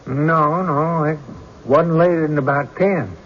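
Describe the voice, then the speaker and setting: A slightly high male voice plays a rustic witness in an old radio courtroom drama. He talks slowly and haltingly, stammering as he dodges and defends himself. The recording is dull, with faint noise. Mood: defensive.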